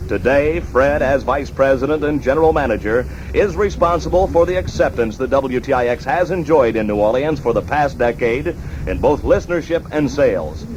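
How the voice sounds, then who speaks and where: A man with a formal announcer delivery, reading scripted narration in the manner of a 1960s radio documentary. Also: mid-range voice. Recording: dull, noisy archival 1960s radio recording.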